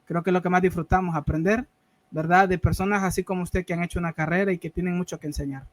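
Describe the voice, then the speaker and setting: A man's voice: high voice, fairly even intonation, fast talker. A man hosting an online interview show, closing it politely and often adding a questioning tag, over a video call. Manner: polite, filler-heavy, repeats "¿verdad?". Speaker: host.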